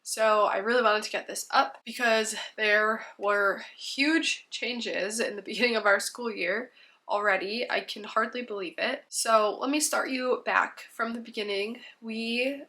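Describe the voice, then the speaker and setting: A woman's voice: a little high, measured pace, sharp tone. A woman in her thirties, congested from a cold, chatting casually to camera as a homeschooling mom, rambling with frequent ums.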